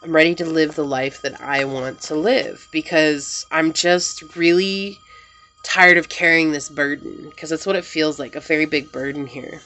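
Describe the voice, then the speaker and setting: Female tarot reader, low-pitched, gentle and affirming, a bit congested. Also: steady tone, moderate pace, rough recording. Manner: gentle, reassuring, speaks in affirmations to the viewer. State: slightly congested, recovering from a cold. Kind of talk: pick-a-card tarot reading to camera.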